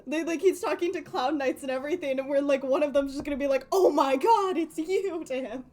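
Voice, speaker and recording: A woman's voice: high voice, brisk, a little mellow. A woman chatting casually on a game livestream in a rambling, giggly, slightly nervous way. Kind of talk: casual game stream chat.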